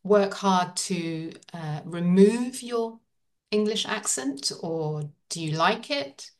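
A woman's voice: medium pitch, expressive, slow, distant mic. A woman in casual conversation, talking thoughtfully with frequent fillers and restarts.

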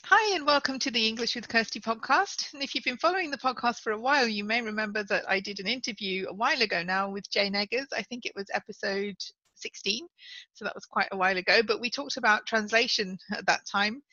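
A woman hosting a podcast, interviewing a guest in a clear, friendly, explanatory way. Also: on the high side, fast, bright, forceful tone, no room sound, dull audio. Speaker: podcast host and English teacher.

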